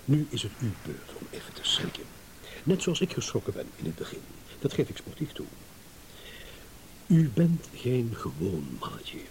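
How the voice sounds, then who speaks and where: An actor playing a smug, shrewd, eloquent gentleman in a radio drama, with mock courtesy. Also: mid-range voice, huge pitch swings, moderate pace, low-quality recording. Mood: amused, triumphant. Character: smug, shrewd gentleman savouring a battle of wits. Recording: hissy old radio play recording.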